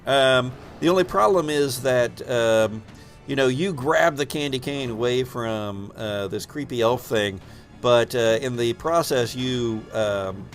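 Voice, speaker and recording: A man's voice: mid-range voice, unhurried, faint music behind. A man narrating an improvised tabletop game as game master, thinking aloud as he goes.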